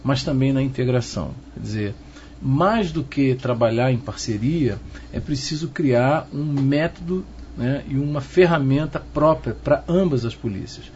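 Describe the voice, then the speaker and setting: A middle-aged man with a Carioca Brazilian accent, answering interview questions as an expert in a measured, didactic way, often checking that the listener follows. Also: a little mellow. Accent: Carioca Brazilian Portuguese. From Rio de Janeiro, Brazil. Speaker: interviewed expert, professor of security. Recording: muffled low-fi sound, noticeable background noise.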